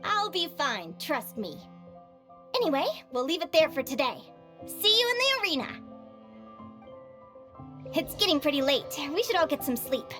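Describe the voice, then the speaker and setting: A woman acting as an upbeat, self-assured young advisor in a video game, speaking in a friendly, expressive, conversational way. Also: high-pitched, normal speed, long pauses, music in the background. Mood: reassuring.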